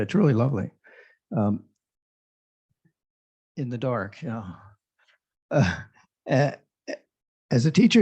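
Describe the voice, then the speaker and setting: A man introducing a guest lecturer, halting and full of fillers. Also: mid-range voice, darkish tone. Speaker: host introducing the speaker. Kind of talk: speaker introduction. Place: lecture room. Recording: dull lo-fi sound.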